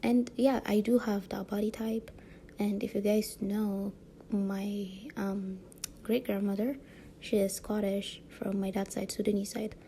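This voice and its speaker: Woman vlogger, light French accent, gravelly dark voice, rambling casual talk, faint room hiss. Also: medium pitch, little pitch movement, unhurried, low-quality recording. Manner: rambling, many fillers and tag questions; frequent soft laughs. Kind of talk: casual monologue to camera.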